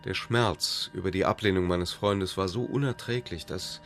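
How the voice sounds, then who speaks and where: An actor playing an urbane middle-aged playwright in a radio play, with dry, polished wit and an unflappable manner. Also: male voice, sits low, moderate pace, vocal fry, fairly bright tone, faint music behind. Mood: confiding, pained.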